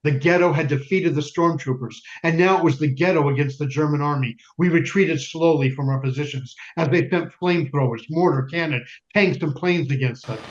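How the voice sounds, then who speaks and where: Man playing a solemn male resistance fighter, higher-pitched. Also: brisk, few pauses, dull audio, close to an everyday voice. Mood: grim, defiant. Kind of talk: dramatic stage narration.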